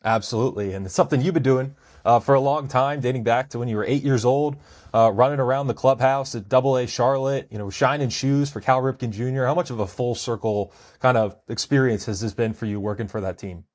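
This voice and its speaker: A man's voice: mid-range voice, brisk, a little mellow. An American man hosting a podcast, talking casually about sports in a conversational way. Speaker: podcast host.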